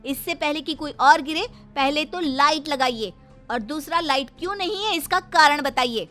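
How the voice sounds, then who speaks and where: A brash young daughter-in-law in a cartoon moral tale, acted by a woman. The voice is very high and exclamatory, pushing back with indignant confidence. Soft music plays underneath. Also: normal speed. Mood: demanding. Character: brash, outspoken young Indian daughter-in-law, quick to complain. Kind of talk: animated Hindi moral story acting.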